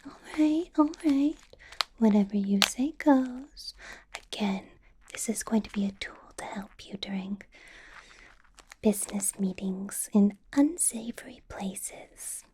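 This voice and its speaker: A woman's voice: mid-range voice, slow, background noise, close to an everyday voice. Woman whispering as a possessive vampire boss. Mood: sweetly menacing. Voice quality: soft unvoiced whisper. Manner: sweetly menacing, possessive. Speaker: ASMR roleplay performer. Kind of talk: whispered ASMR roleplay.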